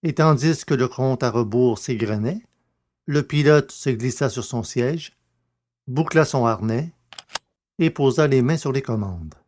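A man reading scripted narration for a science fiction audio drama in a measured, literary storytelling manner. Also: medium pitch, a little mellow.

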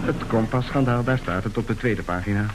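A man's voice: on the low side, brisk, natural voice, poor audio. A man playing a breezy, opportunistic son of a wealthy family in an old radio play, glib and theatrical. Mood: melodramatic. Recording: hissy old radio recording.

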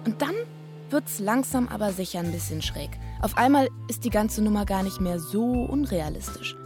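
A young woman played by an actress in a radio play, speaking at a medium pitch over faint music. She confides in a colloquial, disillusioned way, as if straight to the listener. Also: animated, moderate pace, a little mellow, lightly acted. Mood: wry. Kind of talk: radio drama monologue.

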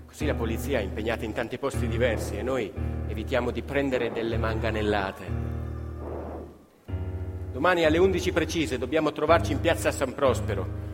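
An actor playing an Italian factory worker, telling of strikes in a colloquial, theatrical radio monologue. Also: male voice, mid-range voice, natural voice. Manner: colloquial, dramatic, acts out remembered dialogue. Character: fiery Italian factory worker recounting strikes and police charges. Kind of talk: theatrical monologue in a live radio play with music. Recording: live music under the voice. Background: live musical instruments.

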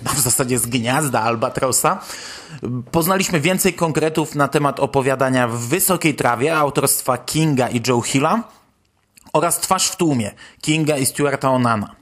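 A man's voice: medium pitch, normal speed, fairly bright tone. A man hosting a podcast, talking through news in a conversational commentary style. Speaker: podcast host.

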